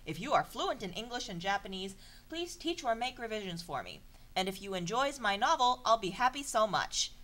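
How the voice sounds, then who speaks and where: Exasperated woman with lively, swinging pitch. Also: normal speed. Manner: exasperated, sweary, sardonic. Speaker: narrator of a comedic fan fiction reading. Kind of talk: informal dramatic reading with asides.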